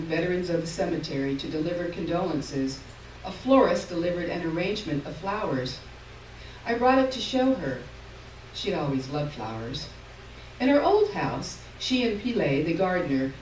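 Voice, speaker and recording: A woman giving a live reading of short fiction on stage, telling the story in a measured way. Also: fairly low pitch. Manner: measured storytelling, lively in the dialogue. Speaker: reader. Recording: roomy hall, distant mic, faint room noise.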